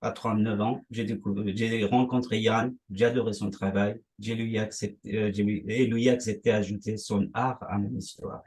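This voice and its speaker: A man reading his own life story aloud in measured, line-by-line phrases. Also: lowish voice, flat delivery, a little mellow, husky. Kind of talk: reading a prepared text aloud.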